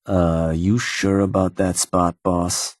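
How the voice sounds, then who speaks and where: An actor playing a raider crew lieutenant who asks slow, doubtful questions. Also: male voice, low voice, level tone, no echo. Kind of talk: game voice acting. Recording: dry studio recording.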